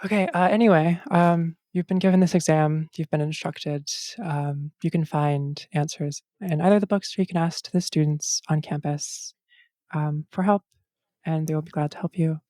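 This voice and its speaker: A woman acting as game master in casual table talk and narration, halting and full of false starts, recorded close at home. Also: low voice, fairly even intonation, mellow, no room sound.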